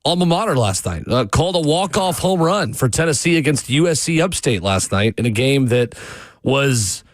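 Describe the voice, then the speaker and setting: A man's voice: mid-range voice, animated, moderate pace, no echo, studio quality. An upbeat young man co-hosting a sports radio show, chatting casually. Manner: upbeat, fluent with fillers. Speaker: co-host.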